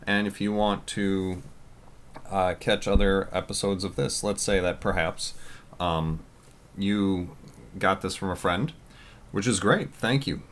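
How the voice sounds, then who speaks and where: A man co-hosting a casual podcast, talking loudly and rambling with lots of fillers. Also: low voice, unhurried. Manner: loud, rambling with many fillers. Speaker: podcast co-host.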